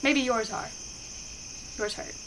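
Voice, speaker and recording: Flustered, affectionate best friend, female voice actor, ASMR romance roleplay: highish pitch, slow halting delivery, noticeable cricket-filled night background. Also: steady tone. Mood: playful, sheepish. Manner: halting, bashful, stammering. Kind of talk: intimate ASMR roleplay acting. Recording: clear insect and outdoor ambience noise. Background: crickets and night ambience.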